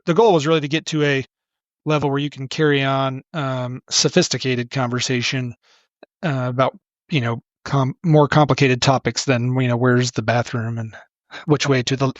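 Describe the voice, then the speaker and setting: A middle-aged man, a podcast guest, talking casually in a relaxed, rambling way with many restarts. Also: medium pitch, no room sound, muffled recording. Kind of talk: casual interview talk.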